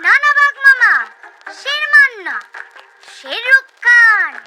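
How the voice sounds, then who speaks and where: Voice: very high-pitched, sing-song, takes it slowly, very noisy, heavily acted. A performer voicing a cheeky, clever little goat kid in a cartoon, chatty and teasing in a sing-song way. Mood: flattering, teasing. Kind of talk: cartoon character acting and narrating to viewers. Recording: loud music behind.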